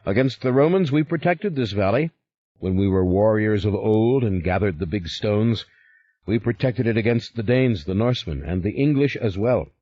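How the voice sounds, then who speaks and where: A man's voice: lowish voice, expressive, normal speed, warm tone, no room sound. A man voicing a stern, devout older father who speaks in a commanding, solemn way. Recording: slightly muffled recording.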